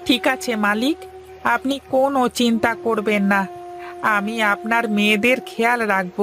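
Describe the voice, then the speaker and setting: A woman's voice: a little high, normal speed, music in the background, close to an everyday voice. A woman voicing a loyal, deferential male servant in a cartoon, eager to reassure the master. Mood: reassuring. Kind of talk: cartoon voice acting.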